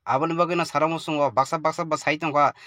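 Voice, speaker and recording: A man giving halting, deliberate political commentary to the public, with frequent pauses. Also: fairly high pitch, steady tone, pushed and bright, dry recording, clean studio sound.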